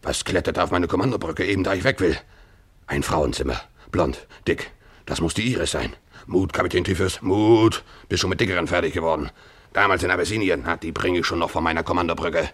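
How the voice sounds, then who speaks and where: Hard-drinking sea captain, low pitch, blustering, muttered asides, radio drama. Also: male voice, brisk, fairly bright tone, slightly rough. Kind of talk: German radio play acting, narrating and dialogue.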